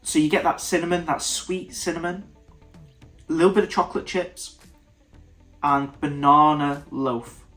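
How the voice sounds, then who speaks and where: Британец с заложенным носом. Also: male voice, on the high side, fairly even intonation, unhurried, long pauses, a bit bright, soft background music. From United Kingdom. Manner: thoughtful, stops and restarts mid-sentence. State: nasal, congested. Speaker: whisky reviewer. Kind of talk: casual tasting review to camera.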